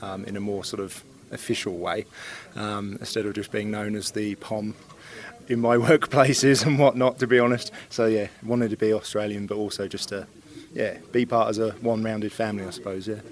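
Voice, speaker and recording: English bloke, lowish voice, easygoing chat with lots of yeahs. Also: male voice, moderate pace. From England. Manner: relaxed, chatty, with ums and yeahs. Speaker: new citizen. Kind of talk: casual interview.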